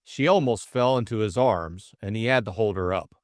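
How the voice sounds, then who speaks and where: A man's voice: fairly low pitch, normal speed, no echo, clean studio sound. A middle-aged man working as audiobook narrator and co-host, reading a story and chatting about it. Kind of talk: audiobook narration and casual discussion.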